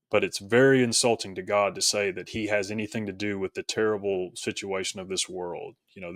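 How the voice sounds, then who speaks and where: A man from rural Tennessee talks as a guest in a reflective interview. His voice sits fairly low and is captured dry, with little room sound. He explains things conversationally, in halting phrases. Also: fairly bright tone, no room sound. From rural Tennessee, United States. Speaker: podcast guest, author.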